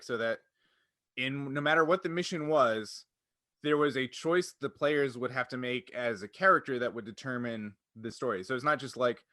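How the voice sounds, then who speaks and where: A man's voice: mid-range voice, bright, forceful tone, no room sound, clean studio sound. A man co-hosting a casual podcast, thinking aloud reflectively as he explains things at length. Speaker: podcast co-host and tabletop game designer.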